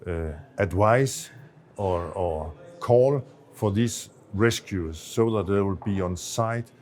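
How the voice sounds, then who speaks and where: Low-voiced Danish man explaining. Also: slow, darkish tone. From Denmark. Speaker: associate professor of medicine, expert interviewee. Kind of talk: explanatory interview answers on a medical project.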